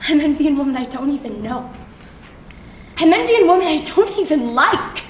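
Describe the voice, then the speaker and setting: A young woman playing a flustered, insecure student making a halting, self-conscious speech on stage. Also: high-pitched, sing-song. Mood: embarrassed, unhappy. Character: flustered, insecure schoolgirl giving an unprepared speech. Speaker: student actor. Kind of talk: theatrical stage monologue. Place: theatre workshop performance space. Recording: echoey room from far off, faint noise.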